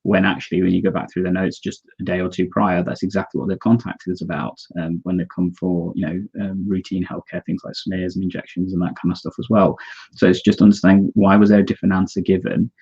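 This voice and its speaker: A man's voice: sits low, flat delivery, quick pace, creaky, a little mellow, slightly rough, highs cut off. A man co-hosting a live discussion, explaining his views earnestly and reading out viewer comments. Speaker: co-host.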